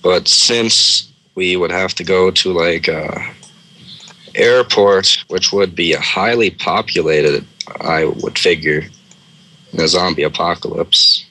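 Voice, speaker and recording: Guy with a really low, bright voice just casually making up a story as co-host. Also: slow, rough recording.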